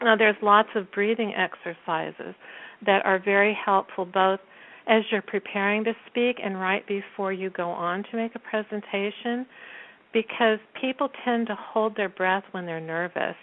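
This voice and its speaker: A woman with a naturally soft voice chats through an interview, breaking into frequent laughs. Her voice comes down a tinny phone line with a narrow, thin sound. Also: mid-range voice, unhurried. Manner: laughs often while talking. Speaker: guest, performance coach. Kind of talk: casual interview talk.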